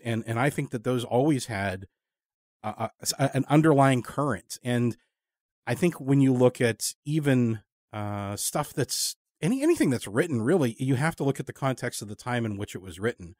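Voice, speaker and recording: A man hosting a casual long-form interview, talking in a thoughtful, halting, discursive way. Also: medium pitch, animated, darkish tone, no echo, studio quality. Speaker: podcast interviewer.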